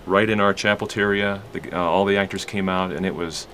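A man's voice: sits low, steady tone, a little fast, bright, forceful tone. A man speaking as an executive producer, talking casually about his work in an office interview. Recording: office with faint background noise.

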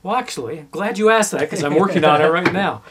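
A man's voice: high voice, brisk, a crowd in the background. A man talking casually as he explains his work as a sound designer.

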